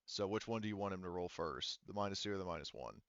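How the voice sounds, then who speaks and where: Man, game master, casual halting narration, resonant voice, very dry close sound. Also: deep voice, animated, fairly bright tone, clear, resonant tone, no room sound, dull audio. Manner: halting, thinking aloud. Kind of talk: casual improvised narration of a tabletop sci-fi roleplaying game.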